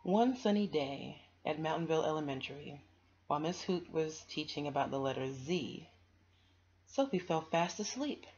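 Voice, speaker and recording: A woman's voice: deep voice, expressive, moderate pace, darkish tone, breathy edge. A woman from the United States narrating a children's picture book aloud, with casual asides. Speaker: storytime host reading aloud. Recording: dull, noisy home recording.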